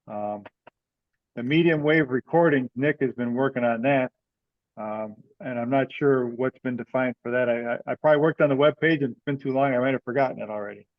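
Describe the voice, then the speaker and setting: A man explaining things casually on a teleconference, somewhat hesitant and measured. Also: mid-range voice, dry recording. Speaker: meeting participant. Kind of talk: casual explanatory call discussion. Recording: dull, lo-fi teleconference audio.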